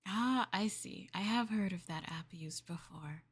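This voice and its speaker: A woman livestreamer in casual roleplay chat, playing a polite, chatty maid and often dropping into soft, breathy near-whispers. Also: medium pitch, measured pace. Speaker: VTuber livestream host.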